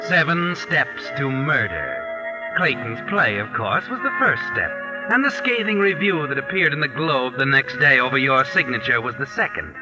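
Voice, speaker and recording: A man's voice: mid-range voice, natural voice, rough recording. A man playing a knowing, sardonic mystery storyteller, narrating dramatically in 1940s radio style. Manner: sardonic, dramatic second-person telling. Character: knowing, ominous male mystery storyteller taunting the culprit. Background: music.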